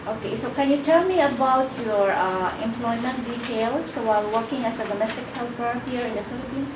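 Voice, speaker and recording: A woman interviewing a job applicant, asking brisk questions with frequent "okay, uh" fillers. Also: on the high side. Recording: echoey room, distant mic, background noise.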